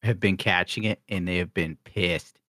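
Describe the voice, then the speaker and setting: A man with a lowish voice talks in a wry, matter-of-fact way. He is recorded close and dry. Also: fairly even intonation, moderate pace, bright, forceful tone, dry recording. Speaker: podcast co-host. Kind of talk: casual chat.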